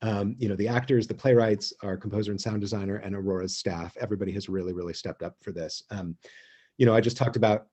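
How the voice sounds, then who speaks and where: A man hosting an online theatre gathering, speaking informally and haltingly, pausing often as he thinks aloud. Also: on the low side, fairly even intonation, darkish tone, slightly rough. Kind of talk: informal speech and toast. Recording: dull, lo-fi video call audio.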